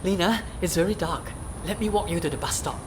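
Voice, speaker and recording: A woman's voice: on the low side, a little fast, lightly acted. A woman playing a friendly young working man at night class in an amateur radio play, with a Singaporean accent. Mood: weary. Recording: clear background noise, ambience.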